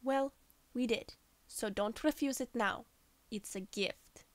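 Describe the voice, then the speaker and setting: A woman plays a small, no-nonsense adventurer in a fantasy audio drama. Her voice is high and closely recorded with little room sound. She is firm, bossy and chiding. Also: slow, no echo.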